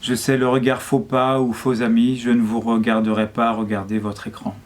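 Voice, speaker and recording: A man reads poetry aloud at a medium pitch. His delivery is solemn and measured, set out in short phrases, with very little rise or fall in the melody. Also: completely flat delivery, slight background noise. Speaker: poet reading a poem. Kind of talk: poetry reading.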